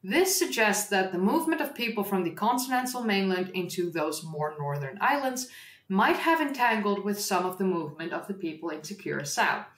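A woman's voice: medium pitch, expressive, moderate pace, fairly bright tone, reverberant. A Dutch-born woman hosting a history livestream, chatting casually and reading an article aloud with rambling asides and self-corrections. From Netherlands.

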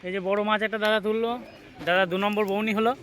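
A man giving excited, casual vlog commentary outdoors, speaking straight to his viewers. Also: high-pitched, very fast. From West Bengal, India. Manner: excited, addresses viewers directly. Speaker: vlogger. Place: outdoors beside a pond.

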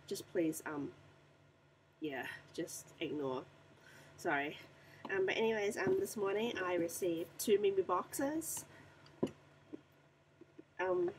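Croaky young woman chatting on a webcam. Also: lowish voice, a little mellow, slight background noise, rough recording. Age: young adult. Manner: chatty and rambling, many ums. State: croaky voice from the flu. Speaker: beauty vlogger. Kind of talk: casual unboxing vlog to camera. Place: small bedroom indoors. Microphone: webcam at desk distance.

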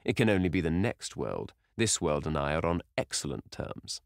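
A man's voice: extremely low pitch, very animated, normal speed, gravelly, no echo, full character voice. A man doing a drawling, witty aristocrat. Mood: ironic. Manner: drawling, dry, ironic. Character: languid, witty aristocratic gentleman.

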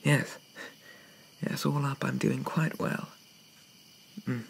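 A shy young zombie gentleman, played by a man, murmurs in a creaky half-whisper. He is polite, hesitant and apologetic, in a gentle ASMR roleplay style, with noticeable background noise around him. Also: medium pitch, level tone, slow pace. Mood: shy, wistful. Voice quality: breathy, often whispered.

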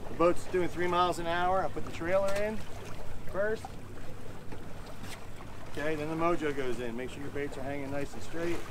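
A man in his forties casually showing how he rigs and sets fishing lines, relaxed and folksy, recorded on an open boat. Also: fairly high pitch, moderate pace, long pauses. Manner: relaxed, folksy, explains while working the rods. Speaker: fishing channel host. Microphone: action camera mounted on the boat. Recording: noisy outdoor boat recording. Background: loud wind, engine and water noise.

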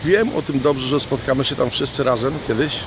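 A man's voice: mid-range voice, fairly quick. A man in his fifties from Poland speaking affectionately and reflectively in an informal heartfelt message to camera, recorded outdoors at arm's length. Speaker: friend sending a video birthday tribute. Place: outdoors on a sea beach. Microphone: phone or camera at arm's length. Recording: loud surf and wind noise.

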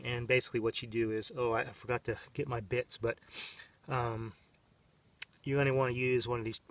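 A man's voice: medium pitch, far from the mic, a little hiss or hum. A man in his thirties giving a casual, rambling how-to demonstration outdoors in the desert, with many fillers and restarts. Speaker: vlogger. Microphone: camera mic, on-camera and off-frame.

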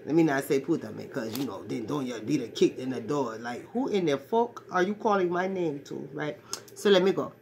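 A woman's voice: low-pitched, expressive, normal speed, gravelly, a crowd in the background. Woman playing a brash crude fortyish man. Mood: rambling. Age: 40s. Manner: crude, rambling, swaggering street talk. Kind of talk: comedic character monologue to camera. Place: small cluttered bedroom indoors. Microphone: phone at arm's length.

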